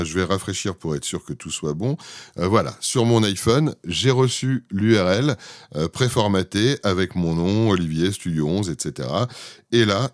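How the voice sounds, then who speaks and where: A man giving an informal software demo, explaining things in an improvised, hesitant way. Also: lowish voice, no echo, studio quality. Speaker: presenter demonstrating his own software. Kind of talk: informal screencast product demo.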